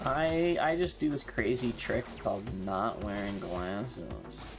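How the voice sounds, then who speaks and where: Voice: mid-range voice, lively intonation, slow pace, creaky. A streamer with an androgynous voice talks unhurriedly while playing a game live. The delivery is casual and sweary, with self-mocking slang. Faint music plays behind the voice.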